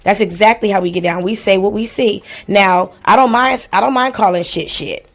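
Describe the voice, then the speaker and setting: A woman's voice: mid-range voice, moderate pace. Blunt, playful woman in her forties livestreaming. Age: 40s. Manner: blunt, playful, profane, slangy. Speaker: livestream host. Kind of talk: casual livestream chat with house rules and shout-outs.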